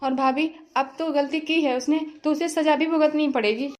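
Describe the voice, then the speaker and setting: A woman's voice: sits high, fairly even intonation, moderate pace, a little mellow, close to an everyday voice. A woman voicing a scheming young man who taunts his new wife and her family. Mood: taunting. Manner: smug, taunting.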